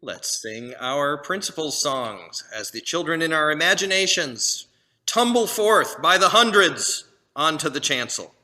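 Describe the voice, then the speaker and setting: Bright-voiced male minister reading. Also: a little high, a little slow. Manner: relaxed, joking asides before solemn reading. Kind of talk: leading a responsive reading in a service. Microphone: video call.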